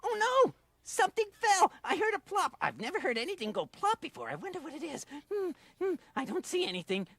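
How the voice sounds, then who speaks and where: Lady doing a jittery little dragonfly guy, frets a lot, jumpy pitch. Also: big pitch swings, a little fast, a bit bright, heavily acted. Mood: panicked. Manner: panicky, fretful exclaiming.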